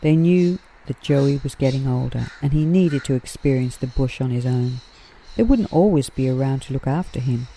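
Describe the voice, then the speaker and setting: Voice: mid-range voice, normal speed, gravelly, dark tone, low-quality recording. A narrator reading a children's story aloud from a script.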